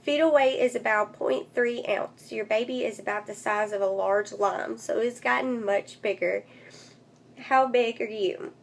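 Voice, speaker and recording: A woman's voice: fairly high pitch, a little slow. A woman vlogger with a congested, nasal voice, recovering from losing it, speaking in a casual update style and recorded on a phone. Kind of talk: casual update vlog, reading aloud from a book. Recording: clear background noise, phone recording.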